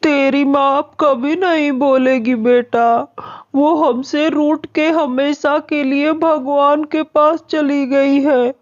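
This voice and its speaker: Woman doing a sad widowed bird papa, cartoon, highish slow pleading voice, muffled audio. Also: steady tone. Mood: grieving. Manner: earnest, pleading. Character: worried widowed father bird, tender and earnest. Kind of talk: children's cartoon story acting.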